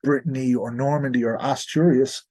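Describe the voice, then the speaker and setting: A man's voice: medium pitch, fairly even intonation, normal speed, mellow. Irish man explaining history. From Ireland. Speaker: guest historian. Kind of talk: explanatory interview talk on history.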